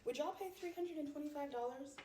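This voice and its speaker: A woman's voice: sits high, fast talker, warm tone. A woman from the United States telling a chatty story time, acting out bits of dialogue. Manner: conversational, acts out dialogue.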